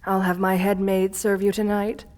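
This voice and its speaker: A woman's voice: medium pitch, flat delivery, normal speed, background noise, natural voice. A woman playing an assertive, flirtatious vampire countess in an ASMR roleplay, speaking to the listener in a genteel, doting way. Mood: gracious, flirtatious. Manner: genteel, doting, uses pet names.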